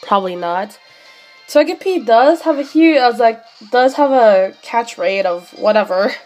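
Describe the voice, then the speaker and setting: A young woman streaming a handheld game, sick and losing her voice, chatting excitably with many fillers. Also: fairly high pitch, moderate pace, soft background music. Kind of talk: live shiny hunting commentary.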